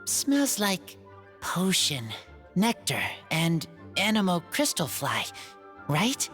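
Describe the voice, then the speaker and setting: An actor playing a gentle, simple-spoken wild teenage boy in a video game, halting and plain-spoken. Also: mid-range voice, big pitch swings. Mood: quiet, curious. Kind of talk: video game character dialogue. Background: faint music.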